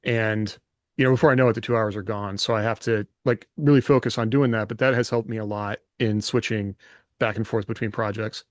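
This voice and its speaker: A man's voice: on the low side, fairly even intonation, fairly quick, dry recording. Casual male podcast host, very dry sound. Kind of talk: casual chat.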